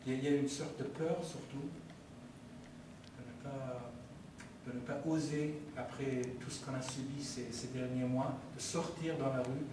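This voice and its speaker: A man from Iran whose first language is Persian, speaking at a public film debate, halting and full of restarts and fillers. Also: mid-range voice, fairly even intonation. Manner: hesitant, many restarts and fillers. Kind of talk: halting public talk, answering questions. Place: community meeting hall. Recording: very echoey hall, far from mic, faint noise.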